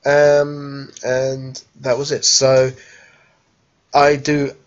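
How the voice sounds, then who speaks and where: Man, 30s, hoarse from a cold, casual rambling vlogger, muffled webcam audio. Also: medium pitch, monotone, drawn-out words. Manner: rambling, chatty, many fillers. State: croaky with a cold. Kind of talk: casual vlog chat. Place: living room sofa at home, then busy indoor exhibition hall. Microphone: webcam at home, handheld phone selfie at the show.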